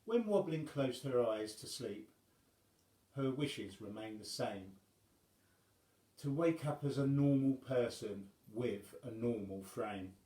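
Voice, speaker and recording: A man reading a rhyming children's story aloud as a storyteller, in measured phrases with dramatic pauses. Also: mid-range voice, expressive, warm tone, roomy sound, poor audio.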